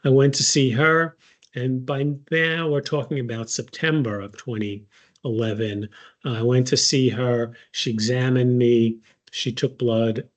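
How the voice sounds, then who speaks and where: An older man in his sixties speaks at an even, middle pitch. He tells his own story slowly and conversationally, pausing often and filling the gaps with ums and uhs. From United States. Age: 60s. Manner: unhurried, many fillers and pauses. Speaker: patient advocate. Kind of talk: personal story, casual talk.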